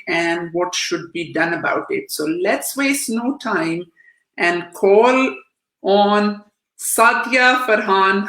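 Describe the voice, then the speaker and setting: A woman hosting a talk show interview, who says she has a loud voice, chatting casually. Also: medium pitch, reverberant, poor audio. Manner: self-described loud voice.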